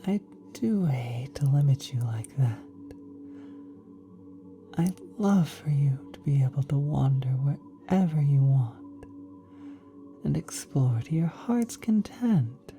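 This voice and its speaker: A woman playing a gentle, doting ethereal cosmic being in an ASMR roleplay, speaking softly and breathily, often whispering, tender and reassuring as if to a small child. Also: a bass voice, lively intonation, takes it slowly, pauses often, faint music behind, exaggerated voice. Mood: gentle, explaining.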